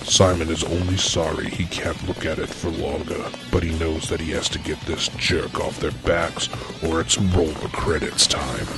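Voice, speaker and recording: Deep, gravelly male story narrator. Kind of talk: comedic scripted story narration.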